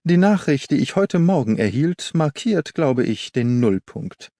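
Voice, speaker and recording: A man's voice: on the high side, very animated, a little slow, mellow, dry recording, studio quality. A man voicing a haughty, didactic detective in an audiobook, pompous and precise in his lecturing. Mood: scornful, gloomy. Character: haughty, didactic male detective, proud of cold logic. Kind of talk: German audiobook character reading.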